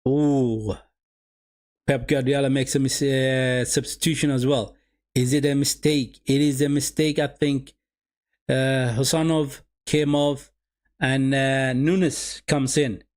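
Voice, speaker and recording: A man's voice: medium pitch, little pitch movement, takes it slowly, a little mellow. A man whose first language is Somali, running a live football watch-along and calling the game for his viewers, often repeating his phrases. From Sweden.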